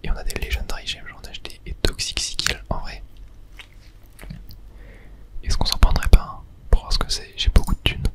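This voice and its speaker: An ASMR streamer whispering gameplay commentary in a breathy, unvoiced voice, murmuring thoughts aloud. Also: normal speed, pauses often.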